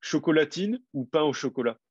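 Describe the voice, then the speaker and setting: A man who teaches French, hosting an interview and asking quiz questions clearly and encouragingly. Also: on the high side, lively intonation, fairly quick, dry recording, lo-fi sound. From France.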